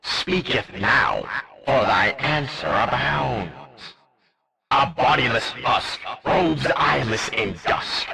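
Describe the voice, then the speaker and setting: A man's voice: mid-range voice, animated, slow, creaky, crowd noise, full character voice. A man voicing a jesty, centuries-old skeleton merchant for a game, speaking in theatrical mock-archaic language. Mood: ominous riddling. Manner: theatrical, archaic, exclamatory, riddling. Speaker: character voice for a game.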